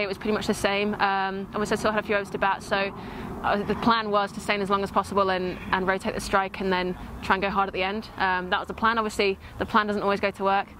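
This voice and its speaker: A woman's voice: mid-range voice, a bit bright. A woman in her twenties from England, a player answering post-match interview questions outdoors on a lapel mic. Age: 20s. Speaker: cricket player. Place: outdoor cricket field. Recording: faint outdoor ground noise.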